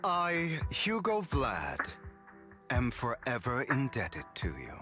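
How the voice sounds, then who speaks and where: An actor playing a suave, sardonic gentleman thief and con artist in a video game, with a grandiose, silky, deliberate delivery. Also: medium pitch, wildly swooping pitch, heavily acted. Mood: sincere. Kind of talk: dramatic video game voice acting. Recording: background music under voice.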